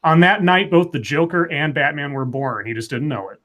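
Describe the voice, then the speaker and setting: A man with a fairly high speaking voice talks casually on a live stream. He builds story pitches out loud, rambling and swearing now and then, with real enthusiasm. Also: moderate pace, a little hiss or hum. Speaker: podcast co-host.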